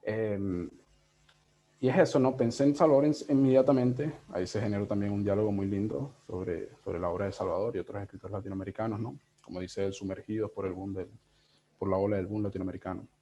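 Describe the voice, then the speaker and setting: A Venezuelan man with a soft, mellow voice talks reflectively in a casual interview. He wanders through his thoughts haltingly, checking in as he goes. The sound is a slightly dull, lo-fi video call. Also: on the low side, fairly even intonation. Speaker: interviewed book editor.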